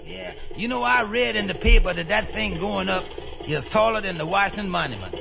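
Earnest folksy cab driver, high-pitched, heavily creaky voice, polite and ungrammatical, old radio comedy. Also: male voice, lively intonation, a little fast, heavily acted. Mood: awestruck. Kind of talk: 1930s radio comedy acting. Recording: dull, lo-fi 1930s radio recording.

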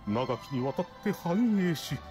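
Man reading as a solemn, courteous sky-folk creature, highish. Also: sing-song, music in the background. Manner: measured, formal, polite. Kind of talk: reading game dialogue as storytelling.

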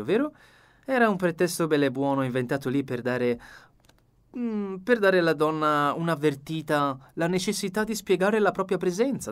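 A man's voice: fairly high pitch, voice pushed up, close to an everyday voice. A man voicing a courteous, fussy older detective in an audiobook, effusive, precise and polite. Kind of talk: audiobook character dialogue.

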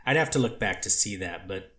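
A man voicing a treatment counselor testifying, cautious and halting, with clinical wording. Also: low-pitched, lively intonation, sharp tone, echoey room. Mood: cautious testimony. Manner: hesitant, restarts, clinical wording. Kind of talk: courtroom transcript reading.

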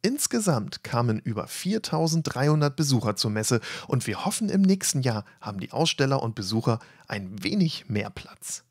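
A man reading a scripted voice-over for an event report in a lively, upbeat way, with a slightly husky voice. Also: medium pitch, normal speed, few pauses, dry recording, clean studio sound. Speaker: channel host narrating event report. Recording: faint music bed behind voice.

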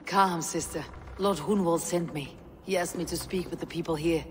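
A woman playing a stern, battle-hardened Viking warrior in a video game, blunt, measured and commanding. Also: fairly low pitch, fairly even intonation, slight background noise, close to an everyday voice. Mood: steady, reassuring. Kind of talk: video game cutscene acting. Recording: reverberant hall sound under music.

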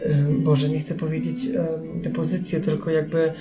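A man's voice: a little high, a little slow. Guy on a podcast being sarcastic and jokey, guitar music playing under him. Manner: ironic, joking, lively. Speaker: podcast guest expert. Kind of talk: casual podcast chat.

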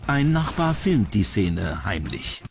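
A man's voice: fairly low pitch, sing-song, takes it slowly, creaky. A man reading scripted narration for a TV countdown documentary. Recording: music behind the voice. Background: background music.

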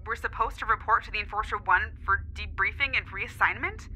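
A woman's voice: mid-range voice, a little fast, bright, forceful tone, faint music behind. A woman playing a casual crew pilot calling over a telephone-like comms radio in an audio drama. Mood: uncertain, casual.

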